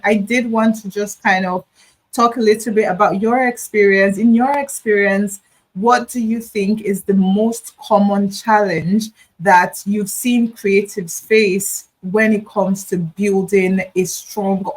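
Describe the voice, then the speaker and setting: A woman with a middle-pitched voice hosts a relaxed interview over a video call. She is chatty and affirming, and drops in quick asides as she asks her questions. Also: rough recording. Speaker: host. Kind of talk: casual interview talk.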